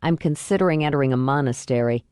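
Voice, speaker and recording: A woman's voice: extremely low pitch, quick pace, a little mellow, clean tone, dry recording, voice pushed down. A woman narrator voicing a weary young man with a dry, blunt manner.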